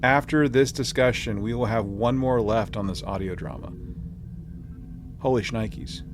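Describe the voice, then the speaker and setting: A man's voice: mid-range voice, measured pace, background noise. A man hosting a podcast with casual banter in a joking, slangy manner. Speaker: podcast host.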